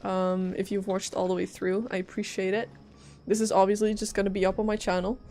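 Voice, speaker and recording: A woman's voice: mid-range voice, little pitch movement, brisk, a little mellow. A woman streaming a video game live, commenting casually in a chatty, rambling, giggly way. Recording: faint game music behind voice. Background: faint game music.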